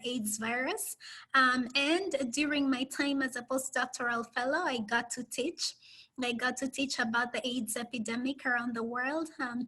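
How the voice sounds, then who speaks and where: A woman with a Kenyan accent speaks as a guest professor on a video call. She tells her story at a measured pace with frequent "um"s. Her voice is high and bright. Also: low-quality recording. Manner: warm, measured storytelling with many "um"s. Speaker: public health professor, guest. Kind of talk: interview answers on a video call.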